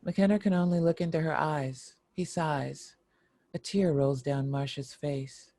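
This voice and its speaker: A woman's voice: sits low, a little slow, dark tone, no echo, studio quality. A woman reading screenplay stage directions as scripted narration for a table read.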